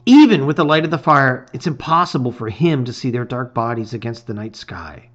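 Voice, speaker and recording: A man's voice: mid-range voice, animated, fairly quick. A middle-aged man giving a dramatic reading of a fantasy adventure, on a slightly dull recording. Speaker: storybook reader.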